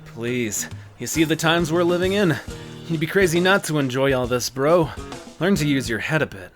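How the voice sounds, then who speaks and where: An actor playing a slick, talkative, cocky young yakuza in a video game fan dub, over guitar music. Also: male voice, on the high side, lively intonation, moderate pace, natural voice. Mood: teasing. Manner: cocky, chatty, casual.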